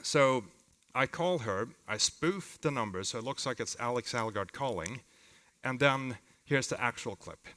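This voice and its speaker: A man with a Swedish accent giving an informal, halting conference presentation as a company founder. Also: medium pitch, lively intonation, pushed and bright. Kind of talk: live conference talk with demos. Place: conference hall with long reverb. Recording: reverberant hall sound.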